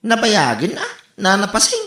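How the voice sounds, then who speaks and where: An exasperated Ilocano worker in a comedy radio drama. His bright, forceful voice leaps up and down as he grumbles and exclaims. The recording has a roomy echo. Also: sits high, wildly swooping pitch, normal speed, full character voice. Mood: put-upon. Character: exasperated Ilocano working man pestered by nosy neighbours.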